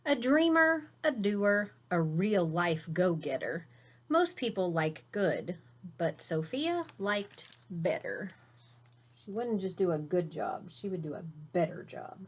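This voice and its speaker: Slow-paced woman reading a storybook aloud. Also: on the low side, slightly rough. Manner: expressive, simple explanations aimed at young children. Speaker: teacher reading a picture book aloud to children. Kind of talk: storybook read-aloud with explanatory asides.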